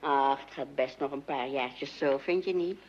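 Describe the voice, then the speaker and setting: A woman acting a patient, practical middle-aged mother in a radio drama, gently chiding and reassuring. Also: a bass voice, a little slow. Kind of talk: old Dutch radio play acting. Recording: dull lo-fi radio recording.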